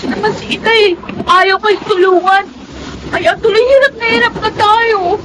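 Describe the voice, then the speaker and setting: A woman's voice: very high voice, steady tone, measured pace, noisy background. A woman playing an obedient, worried schoolgirl daughter in a Filipino radio drama, timid and respectful.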